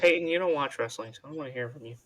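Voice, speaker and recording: A man's voice: medium pitch, rapid-fire, fairly bright tone. A man co-hosting a podcast, chatting casually about wrestling in a blunt, teasing way. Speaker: podcast co-host. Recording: noisy home mic with clicks.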